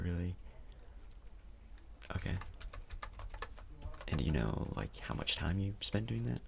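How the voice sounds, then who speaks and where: A man speaking softly, close to a whisper, in an ASMR doctor role-play, with a casual, hesitant, reassuring manner, close to the microphone. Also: sits low, creaky, a little hiss or hum.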